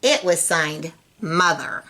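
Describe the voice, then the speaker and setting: Bright-voiced woman reading a children's story. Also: lowish voice, slow pace. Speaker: storybook reader. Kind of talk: animated read-aloud for children.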